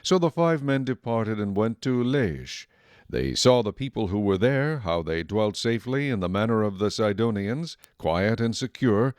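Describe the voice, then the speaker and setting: Guy narrating bible story, fairly low, measured and solemn, dry studio sound. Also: expressive, darkish tone, clean tone, no room sound.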